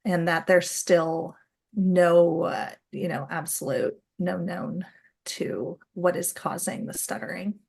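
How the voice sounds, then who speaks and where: A woman co-hosting a podcast responds slowly and haltingly. Her voice is low-set and gravelly, and she takes her time. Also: steady tone, darkish tone. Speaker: podcast co-host. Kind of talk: casual interview talk.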